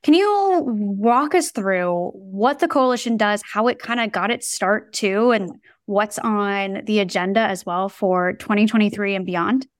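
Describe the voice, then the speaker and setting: A woman hosts a podcast with bubbly, effusive energy. She reads the sponsor spot and then greets her guest. Her voice sits at a middle pitch, and the sound is dry with no room echo. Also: a little slow, few pauses. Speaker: podcast co-host. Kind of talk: upbeat ad read and interview hosting.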